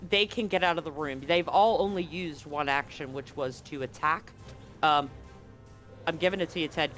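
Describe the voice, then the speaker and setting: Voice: mid-range voice, a bit bright. A game master running a live tabletop game, explaining the action and rules in a casual, conversational way. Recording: music behind the voice. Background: background music.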